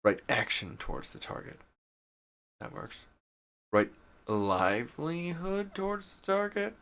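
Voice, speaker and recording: Man from Detroit, casual streamer monologue, irreverent and profane, lively bright voice. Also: on the high side, unhurried. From Detroit, USA. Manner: profane, irreverent, laughs often.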